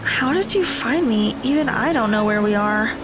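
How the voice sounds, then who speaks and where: A woman's voice: fairly high pitch, expressive, moderate pace, background noise. A woman playing an earnest, tentative teenage girl heroine in an audio drama. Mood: puzzled.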